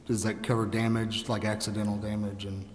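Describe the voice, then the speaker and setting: A man's voice: fairly low pitch, little pitch movement, brisk. A man, a council member, asking hesitant questions at a meeting. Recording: distant echoey chamber.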